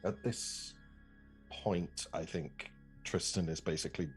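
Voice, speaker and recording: A man's voice: deep voice, fairly even intonation, slow pace, soft background music. A man playing a tabletop game, talking casually and hesitantly as he thinks aloud.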